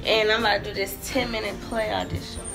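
A woman's voice: mid-range voice, flat delivery, fast, creaky, music in the background. A young woman vlogging casually into a phone held at arm's length about a theater festival trip, chatty and slangy. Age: young adult. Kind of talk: casual vlog chat. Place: hotel room and festival venues.

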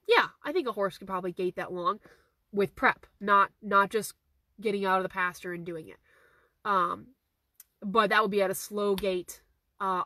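A woman in her thirties, a horse trainer with a hoarse, fading voice, answering viewer questions in a casual live stream from her car, phone held at arm's length, repeating her key points earnestly. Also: mid-range voice, sharp tone, dry recording. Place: inside a parked car. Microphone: phone camera at arm's length.

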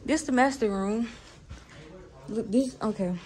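Young female vlogger, higher voice, slangy casual house-tour chat, noisy handheld phone sound. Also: a little slow, a little mellow. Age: young adult. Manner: informal, slangy. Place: walking through apartment, hallways and parking garage. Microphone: phone at arm's length.

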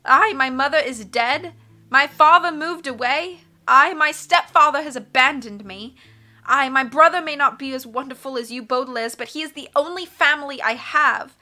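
A woman's voice: high voice, moderate pace, bright, forceful tone, pitched above the performer's own voice. A woman voicing a torn, defensive teenage girl in a storybook, speaking earnestly and emphatically. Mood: anguished.

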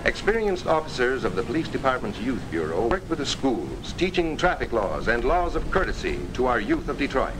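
Man, mid-pitched, formal 1950s narrator, earnest storytelling, measured delivery, noisy vintage film recording. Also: big pitch swings.

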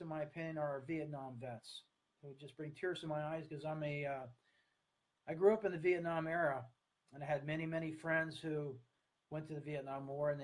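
A man in his sixties with an American accent, giving an earnest online talk to camera in a halting, deliberate way. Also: fairly high pitch, poor audio. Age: 60s. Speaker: speaker, coach. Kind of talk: online talk to camera, partly read from notes. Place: small room at home, indoors. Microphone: webcam or laptop at desk distance.

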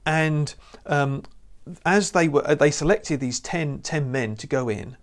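A man's voice: a little high, unhurried. A man preaching a recorded sermon to camera in an earnest, conversational way, often saying "you know.". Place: indoor room with lamp and church banner. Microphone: lapel mic.

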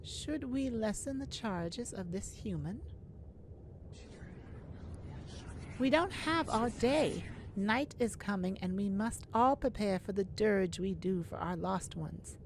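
Mermaid leader and enchantress, played by a woman: regal, merciful, unhurried mid-pitched delivery, fantasy roleplay audio. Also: animated, slight background noise. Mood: solemn, commanding. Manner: slow, formal, regal, deliberate.

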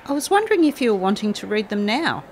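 A woman's voice: mid-range voice, very animated, fast, a little hiss or hum. An actress playing a gossipy, tactless female office assistant who chatters on.

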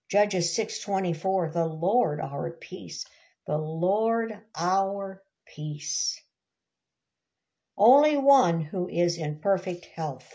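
An older woman giving a devotional reading with commentary, unhurried and emphatic, savoring and repeating words. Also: medium pitch, warm tone. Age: older, about 70s. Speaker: devotional reader and Bible teacher. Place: small indoor room, seated.

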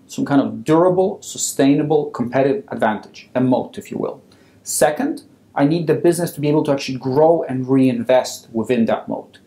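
A man in his thirties, originally from Poland, answering interview questions as a fund founder in a measured, organized way. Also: medium pitch, mellow. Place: office with wooden chairs, indoors. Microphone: lapel mic. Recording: roomy office echo.

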